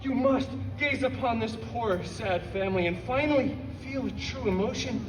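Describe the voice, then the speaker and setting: An actor playing a cheeky, irreverent mercenary telling a holiday story on stage, with an animated, mocking, theatrical delivery. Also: male voice, extremely high pitch, moderate pace, noisy background. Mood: wisecracking. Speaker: live show performer. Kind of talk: live stage comedy storytelling. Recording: echoey, distant live crowd recording.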